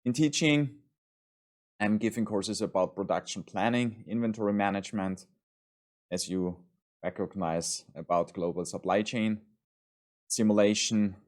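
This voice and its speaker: Austrian-accented man with a very low voice. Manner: measured, formal, with frequent ums and restarts. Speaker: university lecturer. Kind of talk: online course lecture.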